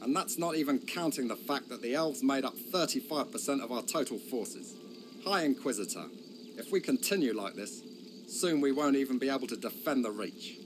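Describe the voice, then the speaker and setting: A man's voice: medium pitch, animated, normal speed, music in the background, natural voice. A man voicing a blunt, accusing old counselor. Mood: worried. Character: old male royal counselor, sharp-tongued and worried.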